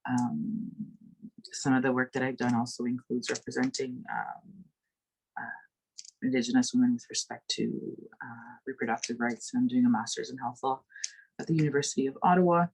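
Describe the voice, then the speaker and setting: A woman lawyer from Canada in her thirties, introducing herself on an online panel in a clear, matter-of-fact way over a video call. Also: very deep voice, steady tone, normal speed, darkish tone, a little hiss or hum, poor audio. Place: home in Saskatoon.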